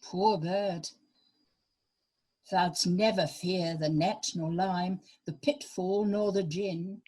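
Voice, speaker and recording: Woman playing slow, husky, bitter noblewoman. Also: on the low side, steady tone, darkish tone. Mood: mournful, teasing. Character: bitter abandoned noblewoman, anxious mother. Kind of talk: amateur Shakespearean radio play.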